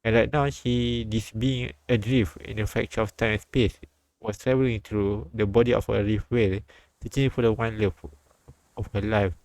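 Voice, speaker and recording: A man streaming a live read-aloud of a visual novel, halting and repeating words as he goes. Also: fairly low pitch, level tone, darkish tone, dry recording, low-quality recording.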